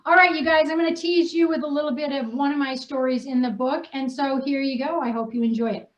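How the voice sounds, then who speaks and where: A female host from New Hampshire speaks in an informal, chatty way. Her voice sits fairly high, and the recording is slightly muffled with plenty of room echo. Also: steady tone, fast talker. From New Hampshire, United States. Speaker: host and co-author. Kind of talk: casual unscripted group chat, reading aloud from a personal story. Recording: dull, echoey room sound.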